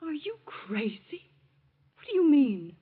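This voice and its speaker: A woman's voice: high-pitched, big pitch swings, slow pace, heavily acted. A woman playing an indignant, cornered society stepmother in an old-time radio drama, with a dramatic delivery. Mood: shocked. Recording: tinny muffled old radio recording.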